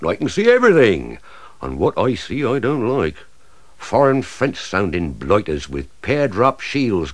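A man's voice: low-pitched, no room sound, pitched below the performer's own voice, heavily acted. A pompous old English oak tree grumbles and blusters, as a man puts him on, his voice leaping wildly between lofty pronouncements and panicked yelps. The storybook character sounds close and dry, with no room around him.

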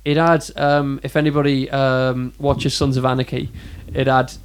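A man's voice: medium pitch, little pitch movement, normal speed, smooth. A British man co-hosting a casual podcast about films, with a wry, hesitant way of putting things. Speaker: podcast co-host. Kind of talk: casual film podcast chat.

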